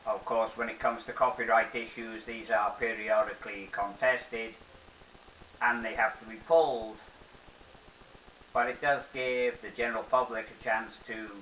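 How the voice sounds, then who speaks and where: An older man giving a nostalgic, reflective monologue as a presenter, with measured, deliberate, halting phrasing. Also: medium pitch, sharp tone. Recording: roomy echo, distant mic, faint hiss.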